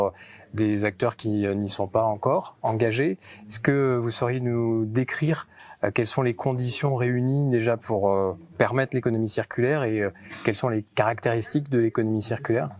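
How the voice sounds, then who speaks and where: A man with a French accent working as an interviewer, putting long questions in measured, hesitant phrases. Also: mid-range voice, a little mellow. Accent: French (France).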